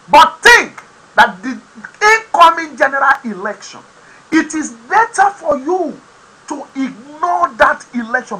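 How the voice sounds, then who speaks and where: A Nigerian-accented man, a native Yoruba speaker, hosts his own program and lectures viewers on politics. His voice is bright and forceful, and he swings into loud, emphatic bursts. A faint hum of noise sits under the voice. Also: very high voice, rough recording. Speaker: host of an online talk program. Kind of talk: impassioned political commentary to camera.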